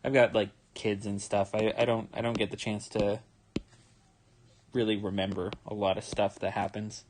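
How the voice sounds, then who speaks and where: A tired young man with a relaxed, rambling manner, talking casually with many fillers as he draws live and explains his steps. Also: lowish voice, moderate pace, background noise. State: tired, yawning. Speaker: manga artist streaming his drawing. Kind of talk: casual livestream commentary while drawing.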